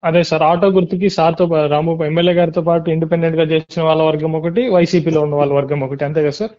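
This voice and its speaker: A man with a slightly high voice asks survey questions at a quick clip over a lo-fi phone call. He is polite and deferential, and he drops English words into his questions. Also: little pitch movement. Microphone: telephone. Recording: muffled lo-fi leaked phone call.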